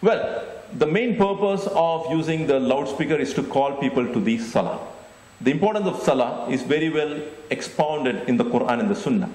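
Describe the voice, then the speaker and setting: A middle-aged man giving a deliberate, explanatory Islamic lecture into a handheld microphone, often repeating phrases. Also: mid-range voice, a little mellow. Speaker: Islamic preacher. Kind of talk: religious lecture. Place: indoor stage with curtain backdrop. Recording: echoey room.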